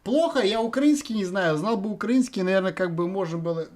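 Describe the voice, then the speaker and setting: A man's voice: sits high, expressive, brisk, darkish tone. Rambling man from Russia chatting on camera. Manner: rambling, hesitant, lots of filler words. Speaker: video chat vlogger. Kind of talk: casual thinking aloud to viewers.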